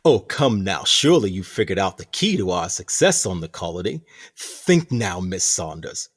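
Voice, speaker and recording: An actor playing a smug, theatrical captain of a sinister prison colony in a radio play, speaking in a pompous, deliberate, condescending way. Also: male voice, low-pitched, sing-song, husky, exaggerated voice. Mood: smug, menacing.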